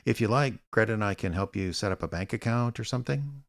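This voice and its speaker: An actor playing an older, wry private eye with a dry, sardonic, kindly manner in a radio drama. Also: male voice, on the low side, quick pace, gravelly. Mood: gentle, earnest. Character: aging wry small-town private eye, gruff but kindly. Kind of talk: radio drama acting with narration.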